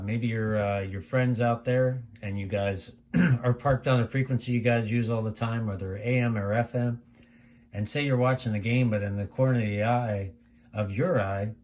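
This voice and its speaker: Low-voiced American man reviewing gear. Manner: conversational, rambling, repeats himself. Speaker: radio reviewer. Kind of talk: casual unscripted product review. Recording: clear steady background noise.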